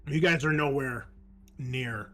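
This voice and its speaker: A man explaining a tabletop roleplaying scenario as game master, speaking in a hesitant, halting way. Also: medium pitch, sharp tone. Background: background music.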